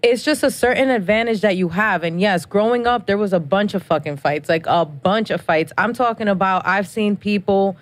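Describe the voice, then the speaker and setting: A woman's voice: medium pitch, moderate pace, few pauses, dry recording. A woman from New Jersey, co-hosting a podcast, talking in a blunt, profane, emphatic way. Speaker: podcast co-host. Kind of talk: casual podcast chat.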